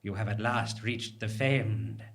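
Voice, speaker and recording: Man playing a deep-voiced ancient high priest. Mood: grand, proud. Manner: measured, formal, grandiose. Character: serene, ageless male high priest of an ancient people. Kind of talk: live comic audio drama acting. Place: small theatre stage, live performance.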